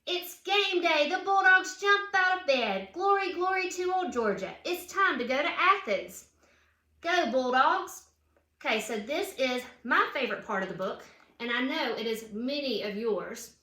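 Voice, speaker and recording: A woman's voice: high voice, animated, a little slow, sharp tone. A woman reads a children's picture book aloud with chatty asides, putting questions and emphatic cheers to young listeners. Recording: roomy, echoing room sound.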